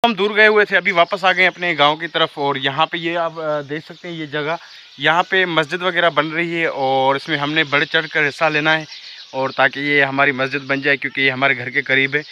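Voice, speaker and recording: Chatty village vlogger with a bright voice. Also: male voice, a little high, fairly even intonation, a little fast, slight background noise. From Pakistan. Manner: chatty, walks and points out surroundings, teases friends. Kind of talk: casual vlog narration. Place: outdoors in a village among fields. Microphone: phone held at arm's length, selfie framing.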